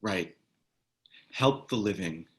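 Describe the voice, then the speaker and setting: A man's voice: low voice, expressive, slow pace. A man reading the part of a wry, easygoing ex-soldier in a screenplay table read, with a casual, laconic delivery. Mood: subdued, sympathetic. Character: wry, easygoing ex-military man, protective ally. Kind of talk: screenplay table read, naturalistic dramatic acting.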